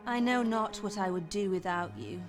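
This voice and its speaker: An actress playing a seductive, vengeful masked madam in a fantasy game, speaking in measured, theatrical, archaic phrases. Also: female voice, medium pitch, music in the background, lightly acted. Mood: grateful, intimate. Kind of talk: fantasy video game voice acting.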